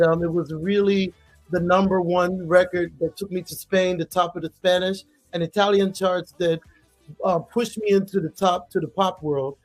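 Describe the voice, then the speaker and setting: A woman singer, a guest in an interview, talking chattily about her career and laughing easily. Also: on the low side. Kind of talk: casual interview talk.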